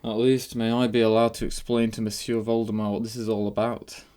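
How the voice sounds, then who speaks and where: Casting: male reader as sardonic master of ceremonies, formal, precise, condescending, medium pitch, audiobook. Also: brisk, creaky, a little mellow. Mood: sarcastic. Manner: dry, sarcastic, precise. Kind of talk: audiobook character voice.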